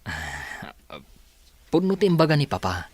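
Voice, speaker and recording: A man's voice: on the high side, wildly swooping pitch, unhurried, husky, dry recording, exaggerated voice. A man acting as a young company boss in an Ilocano radio drama, with an Ilocano accent. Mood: apologetic, hesitant. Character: Daniel, young male company boss, boss's son. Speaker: radio drama actor and announcer. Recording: loud music behind the voice. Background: music.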